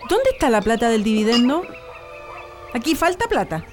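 Radio play role: anxious Chilean mother, high plaintive voice, animated delivery, music underneath. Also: moderate pace. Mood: reproachful. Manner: worried, insistent, plaintive. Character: anxious, fretful working-class mother and wife. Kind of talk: radio drama acting.

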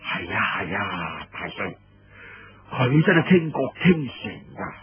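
A man's voice: on the low side, sing-song, slow, slightly rough, pitched below the performer's own voice, full character voice. A man voicing a nervous, deferential surrendered officer in an audiobook story, reporting to a commander with hesitant, obsequious care. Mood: fawning. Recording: muffled lo-fi roomy radio-like recording.

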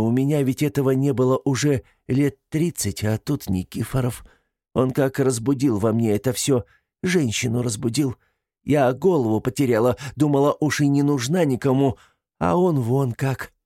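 Man voicing an ashamed older woman, raised pitch. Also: dark tone, no echo, studio quality, close to an everyday voice. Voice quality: breaking, tearful voice. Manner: hesitant, halting, pleading. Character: ashamed, flustered older woman confessing under interrogation.

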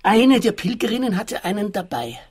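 An actor with a husky, high voice and a Swiss accent plays a fussy, shifty forest owner in a comic radio play. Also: male voice, sing-song, normal speed, full character voice. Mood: earnest, furtive. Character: fussy, shifty male forest owner, small-time schemer. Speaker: client character. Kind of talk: comic radio detective drama.

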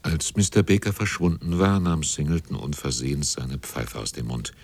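Male narrator for literary radio drama: extremely deep, slightly rough tone, measured scripted delivery. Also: animated, a little mellow.

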